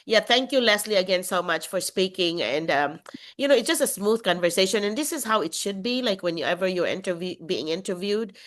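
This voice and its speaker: A woman's voice: mid-range voice, animated, brisk, fairly bright tone, a little hiss or hum. A woman hosting a casual video call conversation in an encouraging, reassuring way. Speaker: host.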